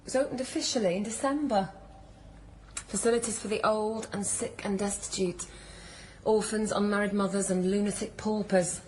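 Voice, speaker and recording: A woman's voice: medium pitch, steady tone, normal speed, darkish tone, slight background noise, lightly acted, poor audio. An actress playing a touchy, flippant, bookish woman in a naturalistic radio drama, speaking in a wry, guarded way. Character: touchy, flippant, bookish woman visiting her old friend.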